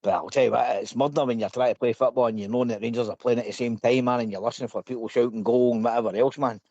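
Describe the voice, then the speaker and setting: A man's voice: mid-range voice, steady tone, quick pace, mellow, dry recording. Chatty Scottish man on a dry line. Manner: chatty, laughs, says man a lot. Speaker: regular guest. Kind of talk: casual phone-in chat. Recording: dry, muffled phone line.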